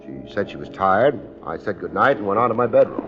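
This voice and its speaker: A man acting a wry, unflappable private detective with deadpan wit in a 1950s radio drama. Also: on the low side, brisk, over music. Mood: dry, cool. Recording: dull old radio recording.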